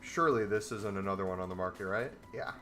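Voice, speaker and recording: Bright-voiced young man streaming casually. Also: low-pitched, fast, faint music behind. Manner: laid-back, talks to chat. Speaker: trading streamer. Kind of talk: casual live-stream commentary. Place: small room indoors, gaming chair.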